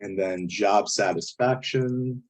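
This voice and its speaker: A man with a hoarse, failing voice who often clears his throat, walking step by step through a statistics software tutorial over a screen recording. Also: lowish voice, takes it slowly. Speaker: statistics instructor. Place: indoors at a computer, voice-over on screen capture.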